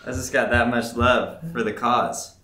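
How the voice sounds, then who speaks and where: A man's voice: medium pitch, fairly even intonation, measured pace, bright, forceful tone, roomy sound. Young guy joking around on camera, mid voice, inside a boat in the shop. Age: young adult. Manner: easygoing, jokey, loose. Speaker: boatbuilder. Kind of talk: casual joking chat on camera. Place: inside a wooden boat in a workshop.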